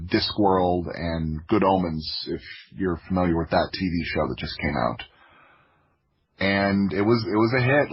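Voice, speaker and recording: A man's voice: low-pitched, slightly rough, highs cut off. An American man telling stories casually as a podcast guest, in an anecdotal, self-interrupting way peppered with "like". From Florida, United States. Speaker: podcast guest, audio drama creator. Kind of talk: casual conversational storytelling.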